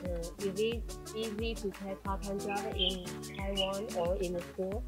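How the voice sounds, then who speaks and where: A woman's voice: mid-range voice, steady tone, measured pace, loud music behind the voice. A woman asking an interviewee short, plain questions off camera.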